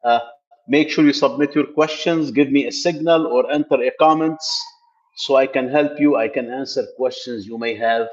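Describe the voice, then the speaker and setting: A man's voice: mid-range voice, moderate pace, highs cut off. A middle-aged man from Lebanon hosting a casual tech live stream from a gym, talking loosely with many fillers and restarts. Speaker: tech channel host. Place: building gym, indoors.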